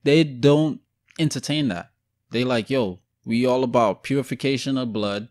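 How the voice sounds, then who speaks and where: A man's voice: mid-range voice, normal speed, no echo, studio quality. Blunt young man in casual slang. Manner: blunt, animated street slang. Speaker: podcast co-host. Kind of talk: casual chat.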